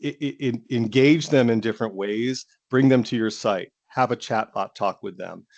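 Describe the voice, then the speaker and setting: A man presenting business software in an explanatory way, with somewhat hesitant phrasing. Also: medium pitch, moderate pace, no echo, highs cut off. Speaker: webinar presenter.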